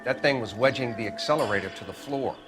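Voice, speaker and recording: A man plays a methodical coroner walking detectives through evidence. He speaks flatly and plainly. Loud music plays behind him. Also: mid-range voice, quick pace, lightly acted, low-quality recording. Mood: clinical. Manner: matter-of-fact, clinical. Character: methodical 1940s coroner man, dry and matter-of-fact.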